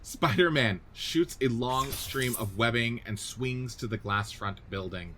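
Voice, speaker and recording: A man's voice: medium pitch, unhurried, background noise. A man reading scripted narration and stage directions for a radio play.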